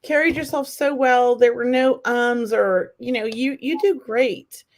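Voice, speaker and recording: A middle-aged woman speaks in a slightly raised pitch as a radio co-host. She rambles enthusiastically, stacking fillers like "you know" and "like" and restarting her sentences. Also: measured pace. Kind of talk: casual talk show chat.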